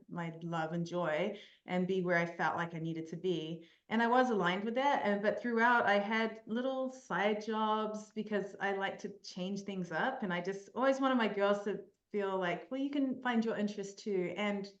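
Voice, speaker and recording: A woman in her forties with a New Zealand accent, chatting as a podcast guest with frequent ums and easy laughter. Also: medium pitch. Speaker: podcast guest, mental performance coach. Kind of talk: casual interview chat. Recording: dull lo-fi sound in an echoey room.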